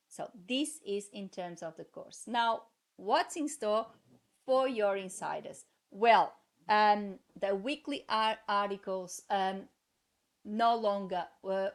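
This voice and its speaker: Portuguese lady vlogging, lots of ums and restarts, gets fired up, medium voice. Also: medium pitch. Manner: halting, many fillers and restarts, passionate. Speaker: translator and vlogger. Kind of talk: casual vlog talk to viewers.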